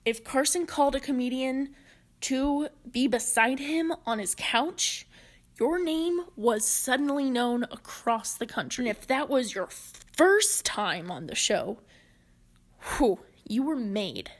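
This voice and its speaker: A woman presenting a prepared explanatory narration in a measured, deliberate way. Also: high-pitched, expressive. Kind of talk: prepared video-essay narration on comedy history.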